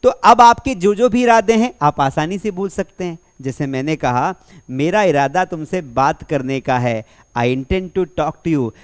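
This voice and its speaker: A young man teaching spoken English, asking the class questions and slowly repeating example sentences word by word. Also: fairly high pitch, clean tone. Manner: energetic classroom delivery, slow dictation of example sentences; asks rhetorical questions and repeats answers. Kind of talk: spoken English lesson in Hindi.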